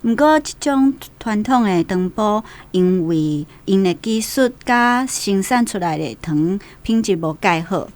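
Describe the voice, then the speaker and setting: A woman's voice: medium pitch, lively intonation, a little slow, few pauses, darkish tone. A woman with a Taiwanese accent giving orderly but informal explanatory history commentary as a radio guest.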